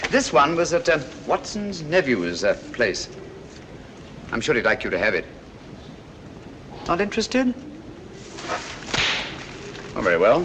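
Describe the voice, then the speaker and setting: An actor playing a cool, courteous British gentleman detective, speaking with dry, precise irony in 1940s film style. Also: a little high, huge pitch swings, measured pace, long pauses. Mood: dryly ironic. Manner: dry, precise, politely ironic. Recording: hissy 1940s film soundtrack.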